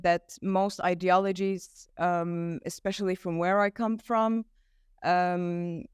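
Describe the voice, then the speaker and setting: A woman's voice: on the low side, clean tone, no echo, studio quality. A woman from Egypt asking a thoughtful question from the audience at a conference, with some fillers.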